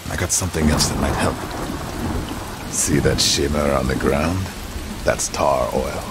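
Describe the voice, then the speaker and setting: A brawny Western game gunslinger, cocky and amused. The voice is extremely deep and gravelly, drawn out slowly, with rain behind it. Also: male voice, heavy background noise. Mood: amused, scheming. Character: big cocky brawny trapper, slow-talking gunslinger. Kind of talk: dramatic video game cutscene acting.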